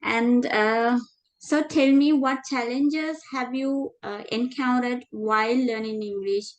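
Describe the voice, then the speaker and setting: Slow-talking woman interview host. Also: fairly high pitch, fairly even intonation, poor audio. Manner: friendly, many fillers, slightly halting. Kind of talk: casual conversation.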